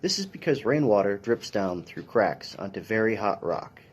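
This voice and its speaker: Low-voiced man reading aloud. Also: sing-song, normal speed, slightly rough. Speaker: police officer reading a children's book. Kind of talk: read-aloud of a children's science picture book.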